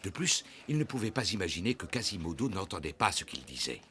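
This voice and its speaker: A man narrating a French cartoon story in a measured, scripted storybook style. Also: low voice, sing-song, pushed and bright, slight background noise, heavily acted.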